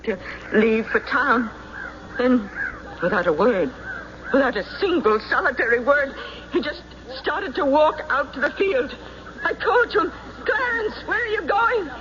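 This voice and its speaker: A muffled, noisy old radio drama. a distraught farm wife with a high voice, halting and breathless as she pleads to be believed. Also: animated, fairly bright tone. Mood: shaken, bewildered. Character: distraught farm wife insisting her husband vanished.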